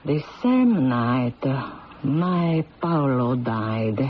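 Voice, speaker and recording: An actress playing an ancient, dying Italian grandmother who tells fortunes, speaking with an Italian accent in a halting, theatrical, mournful way in a radio drama. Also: very deep voice, big pitch swings, exaggerated voice. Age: older. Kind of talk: 1970s radio drama acting. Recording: muffled, noisy old radio broadcast.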